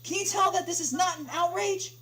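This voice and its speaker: A woman voicing an irate, indignant male customer on a support call, loud and strained, demanding things over and over. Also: very high-pitched, brisk, voice pushed up. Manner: indignant, repeating demands with rising insistence. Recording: clearly noisy home livestream with hum.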